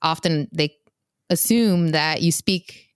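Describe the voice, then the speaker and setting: A young woman co-hosting a family podcast, her voice sitting very low for a woman. She rambles through her thoughts with plenty of fillers and false starts, close to the mic in a dry, dead room. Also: takes it slowly, clear, resonant tone, no echo. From United States. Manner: rambling, many "like" fillers and restarts. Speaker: podcast co-host. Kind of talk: casual chat among siblings.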